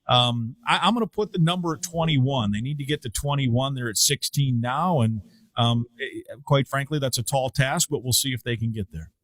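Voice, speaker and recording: A man's voice: medium pitch, fairly bright tone. A middle-aged man from Iowa, a radio play-by-play broadcaster chatting about college basketball, thoughtful with frequent fillers and restarts. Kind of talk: casual sports talk.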